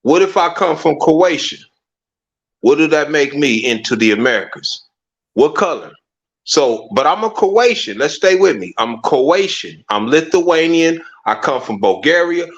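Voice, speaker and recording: A man talking in an emphatic, rhetorical way, with a slightly raised pitch. The audio is muffled and lo-fi. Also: lively intonation, unhurried. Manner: emphatic, rhetorical, repeats "watch this". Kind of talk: casual conversation.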